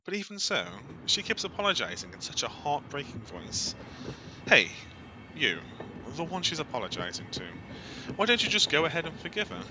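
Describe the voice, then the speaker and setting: A man reading a visual novel aloud on a stream as dramatic, expressive narration, in a theatrical storytelling way. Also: fairly high pitch, moderate pace, noisy background.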